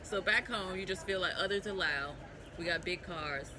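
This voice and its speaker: A woman's voice: on the low side, moderate pace, noisy background. A woman hosting a casual interview outdoors, teasing and laughing easily as she asks her questions. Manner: playful, teasing, laughs often. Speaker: host, interviewer. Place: outdoors on a city street.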